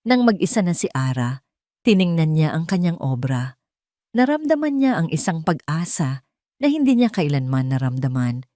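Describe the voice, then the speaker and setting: Woman narrator doing a dramatic scripted story, lowish voice, close dry sound. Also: very animated, normal speed, warm tone, no echo.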